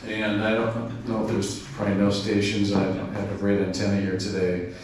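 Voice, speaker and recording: A man's voice: on the low side, completely flat delivery, moderate pace, a little mellow. Man, club presenter, informal explaining, phonetic alphabet call signs, distant mic, very echoey room, faint hiss.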